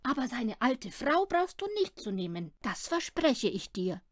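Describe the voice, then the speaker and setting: Woman playing a patient, higher-voiced storybook mother. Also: sing-song, unhurried, no echo, clean studio sound. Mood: reassuring. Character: gentle but firm mother of a young boy.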